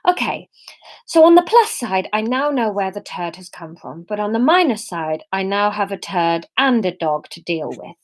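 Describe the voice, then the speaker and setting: A woman's voice: medium pitch, sing-song, moderate pace, pitched below the performer's own voice, close to an everyday voice. A woman reading the lines of an exasperated young woman, who swears in disbelief. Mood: rattled musing. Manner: incredulous, swearing.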